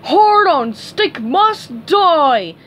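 A woman's voice: extremely high pitch, sing-song, drawn-out words, fairly bright tone, slight background noise, pitched above the performer's own voice, exaggerated voice. A woman voicing a sulky, furious chubby male cartoon starfish, bellowing in a storybook reading. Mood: furious, wounded. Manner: brooding, bellowing when enraged.

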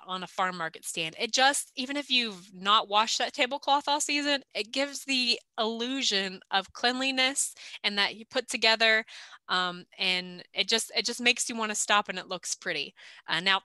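A young woman talks through a training slideshow in a practical, chatty way, often pausing on "um." Her voice is bright and smooth. The sound is dry and close, picked up on a webcam mic. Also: medium pitch, no echo. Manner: practical, conversational, with frequent "um" fillers. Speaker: extension agent giving vendor training. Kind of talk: recorded online training presentation over slides. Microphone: video call webcam.

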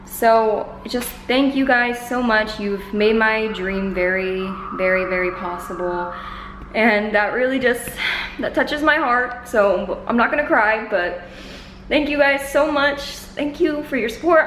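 A woman's voice: on the high side, slight background noise. Young woman chatting in an echoey room. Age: 20s. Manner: chatty, informal. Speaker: vlogger. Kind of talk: casual chat to camera. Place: medium-sized living room indoors. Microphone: camera held at arm's length.

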